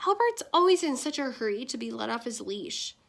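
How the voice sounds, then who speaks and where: A woman's voice: fairly high pitch, very animated, a little fast, creaky, fairly bright tone, full character voice. A woman reading as a cheerful, cheeky young girl in a storybook, chatty and frank.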